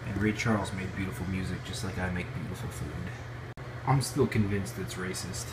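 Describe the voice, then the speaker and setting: Casting: male amateur cooking host, lowish voice, informal and joking, very noisy handheld camera sound. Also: steady tone, fairly quick. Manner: informal, jokey. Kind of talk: casual cooking vlog narration. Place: home kitchen, indoors. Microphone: handheld phone or camera.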